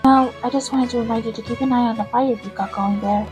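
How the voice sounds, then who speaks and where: A woman's voice: on the high side, fairly even intonation, quick pace. A woman doing amateur video game voice acting in a polite, earnest manner. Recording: amateur recording with music behind.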